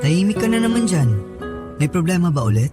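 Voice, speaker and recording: A man's voice: high voice, very animated, quick pace, over music. A man playing an affectionate young husband in a radio drama, talking in a casual, coaxing, reassuring way. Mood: concerned.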